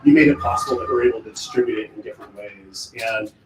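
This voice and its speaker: A man hosting a casual livestream chat on a film set, excited and chatty, with a loud voice he tries to keep down. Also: on the low side, fast. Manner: excited, chatty, keeps voice down for filming. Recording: echoey, distant mic with faint background noise.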